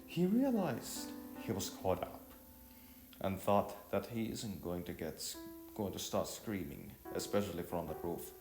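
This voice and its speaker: A man's voice: low voice, very animated, measured pace. A man narrating a story as an amateur reader, stumbling over phrases now and then and rereading them. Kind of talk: amateur narration of an audiovisual novel. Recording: roomy echo, faint music behind.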